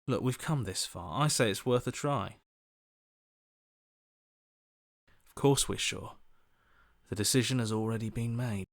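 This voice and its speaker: A man's voice: medium pitch, moderate pace, creaky, lightly acted. A man voicing an earnest, questioning heroic inner voice in a video game, reasoning things out as he talks. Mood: resolute.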